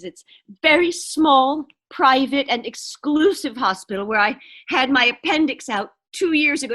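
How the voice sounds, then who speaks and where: A woman's voice: sits high, fairly bright tone, heavily acted. A woman playing an anxious, demanding invalid wife in a suspense radio play, melodramatic and stammering as she pleads. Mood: impatient, pleading. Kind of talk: 1940s-style suspense radio play acting.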